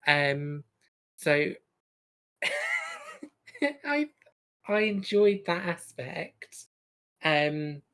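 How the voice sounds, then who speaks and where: A woman's voice: lowish voice, wildly swooping pitch, drawn-out words, pauses often, fairly bright tone. A woman co-hosting a podcast, chatting casually through a review in a hesitant, giggly way. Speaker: podcast co-host.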